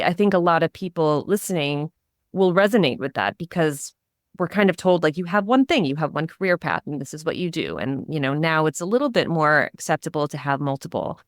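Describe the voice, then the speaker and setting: Enthusiastic American woman, deep and dry. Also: dry recording. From United States. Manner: enthusiastic, many fillers and restarts. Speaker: podcast host. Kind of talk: casual interview.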